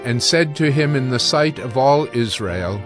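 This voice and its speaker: A male narrator reads scripture for an audio drama. He takes his time at a slow pace, in a medium pitch, with music clearly playing behind him. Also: lively intonation.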